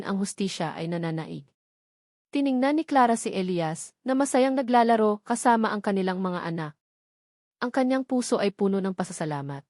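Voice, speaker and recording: A woman with a Filipino accent reads a scripted love story as its narrator. Her mid-pitched voice is earnest and sentimental, with measured, dramatic phrasing. The sound is close and dry, without room echo. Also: a little mellow. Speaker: narrator of online love stories.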